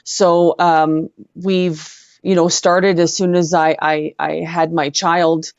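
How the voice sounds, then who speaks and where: A middle-aged woman with a Canadian accent, telling personal stories as an interview guest in an earnest, halting way. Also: on the low side, little pitch movement, a little mellow, clean tone, dry recording, highs cut off. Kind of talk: personal storytelling in conversation.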